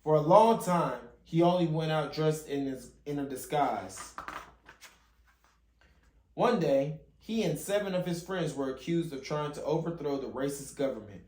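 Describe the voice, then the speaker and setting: A man's voice: fairly high pitch, unhurried, darkish tone. Echoey man reading a picture book aloud. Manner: stumbles and rereads phrases as he reads. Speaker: father hosting a children's read-along channel. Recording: roomy, echoey home recording.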